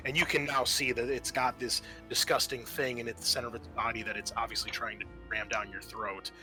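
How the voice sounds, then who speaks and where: Male game master, bright mid-pitched voice, off-the-cuff horror narration with hesitations, light music underneath. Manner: halting, casual, profane.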